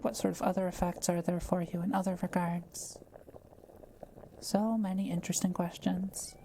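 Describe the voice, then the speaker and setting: Mellow, creaky-voiced kindly witch played by a woman. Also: fairly low pitch, little pitch movement, a little hiss or hum, natural voice. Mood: warm, thoughtful. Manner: gentle, measured, thoughtful, politely formal phrasing. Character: kind, curious forest witch, soft-spoken and politely wry. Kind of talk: intimate scripted audio roleplay addressed to the listener.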